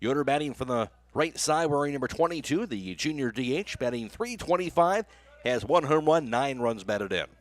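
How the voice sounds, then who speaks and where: A man's voice: mid-range voice, expressive, normal speed. An American man working as a radio announcer, speaking in a broadcast style into a close microphone. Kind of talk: live baseball play-by-play commentary.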